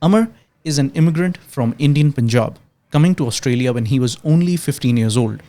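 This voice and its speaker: A young man from Pakistan hosting a podcast, his voice sitting at an ordinary middle pitch. He moves from a read intro into loose, searching questions, often restarting his sentences as he talks. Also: expressive, fairly quick, warm tone. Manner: scripted intro, then hesitant conversational questions with restarts. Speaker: podcast host. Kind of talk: podcast interview.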